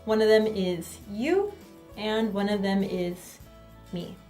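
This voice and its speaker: A woman teaching a grammar lesson while sitting in front of her camera, speaking clearly and deliberately. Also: mid-range voice, expressive, mellow. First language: not Korean. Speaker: language teacher. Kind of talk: explaining to camera. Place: sitting indoors. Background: faint background music.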